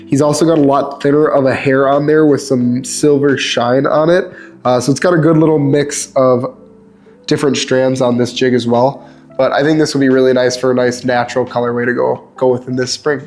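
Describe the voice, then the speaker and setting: A young man with a stuffed-up nose, casually reviewing fishing lures in a relaxed, chatty way with lots of ums. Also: mid-range voice, fairly quick, soft background music. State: stuffed up, sniffly. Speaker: lure reviewer.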